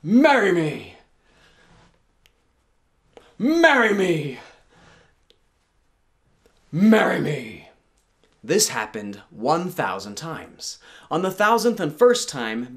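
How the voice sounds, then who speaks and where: A man's voice: a little high, very animated, unhurried. A man telling a folktale as a live storyteller, in a dramatic, theatrical, tongue-in-cheek way.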